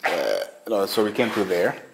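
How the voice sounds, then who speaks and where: A man's voice: fairly low pitch, sing-song, moderate pace, vocal fry. A man chatting casually as he explores for a ghost hunting vlog, at times calling out to spirits. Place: inside a large abandoned hospital building. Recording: noisy on-location handheld camera audio.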